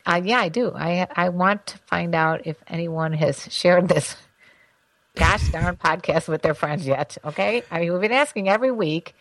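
A woman's voice: fairly low pitch, a little slow, a bit bright, no room sound, low-quality recording. A middle-aged woman co-hosting a casual podcast as the mother, chatty and playful, laughing often.

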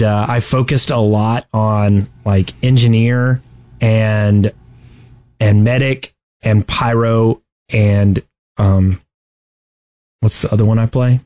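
A man's voice: medium pitch, a little mellow. A man in his forties hosting a podcast in casual chat, joking and rambling through his stories. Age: 40s. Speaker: podcast host.

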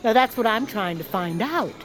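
A woman's voice: on the high side, expressive, normal speed, soft background music, close to an everyday voice. A woman voicing a nosy, genteel animal neighbour in family audio fiction, effusive and quaintly polite.

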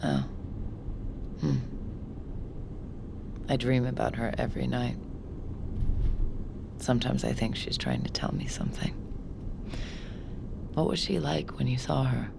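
A woman playing a weary, determined fugitive in an audio drama, terse and naturalistic with a gravelly voice. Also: very deep voice, lively intonation, measured pace, long pauses, background noise, exaggerated voice. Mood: subdued, weary. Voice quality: gravelly, breathy near-whisper at times.

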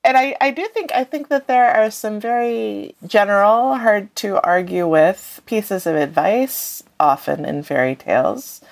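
A woman's voice: mid-range voice, measured pace, vocal fry, few pauses. A woman speaking as a guest author in a thoughtful interview, hesitantly searching for her words.

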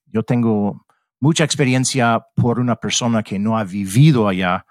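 A man's voice: fairly low pitch, moderate pace, darkish tone, no room sound, clean studio sound. A middle-aged man from Mississippi who speaks with an American English accent. He talks as a podcast guest, reflective and searching for words in his second language. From Mississippi, USA. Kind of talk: casual interview chat.

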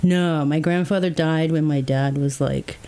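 A woman's voice: low-pitched, steady tone, measured pace, warm tone. A woman guest in a casual interview, talking reflectively with many fillers like "like" and "um", with faint background noise. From England.

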